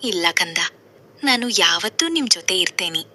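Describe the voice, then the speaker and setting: Woman playing bright, low-voiced domineering stepmother. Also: animated, moderate pace, full character voice. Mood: reassuring. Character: domineering stepmother doting on her own son.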